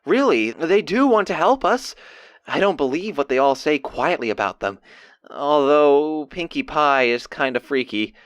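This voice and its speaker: Animated, bashful young stallion voiced by a man. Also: on the high side, dry recording, clean studio sound. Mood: amused, uneasy. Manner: halting, awkward, earnest. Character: bashful, excitable young male pony keeping audio diary. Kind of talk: casual diary monologue, acted.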